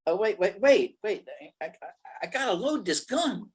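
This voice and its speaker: A man's voice: sits high, lively intonation, normal speed, breathy edge. A performer playing a dim, lovestruck male gangster in an old-time radio play, stammering and bumbling in slangy talk. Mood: bumbling, eager.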